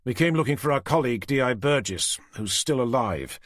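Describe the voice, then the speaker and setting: Ein ruhiger, bestimmter Kriminalinspektor, von einem Mann mit britischem Akzent gesprochen, kontrolliert und beschwichtigend, mit leicht verhauchter Stimme. Trocken aufgenommen, im Hörbuch. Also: male voice, medium pitch, lively intonation, fairly quick, fairly bright tone, dry recording, clean studio sound, pitched above the performer's own voice. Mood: placating. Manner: firm, placating, controlled. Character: calm male detective inspector, firm and measured.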